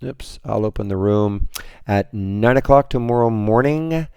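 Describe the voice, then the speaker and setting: A hoarse man moderating a trading room, talking through his trades on a screen share in a rambling way, full of restarts and fillers. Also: on the low side, unhurried, mellow, a little hiss or hum.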